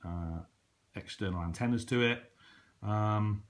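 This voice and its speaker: A man's voice: lowish voice, a little slow. A British man giving a casual, unscripted product review in a hesitant, conversational way. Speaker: hobbyist radio reviewer. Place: indoors at a table in a room.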